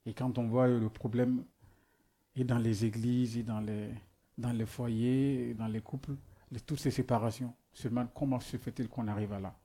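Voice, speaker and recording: A man's voice: medium pitch, little pitch movement, moderate pace, mellow. A man who is not a native speaker preaches a sermon, asking rhetorical questions and often restarting as he searches for words. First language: not French.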